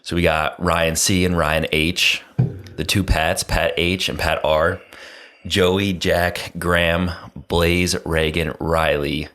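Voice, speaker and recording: A young man co-hosting a podcast, reading out a list of names in a steady way, close to a studio mic. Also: low voice, slow. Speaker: podcast co-host. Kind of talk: casual chat.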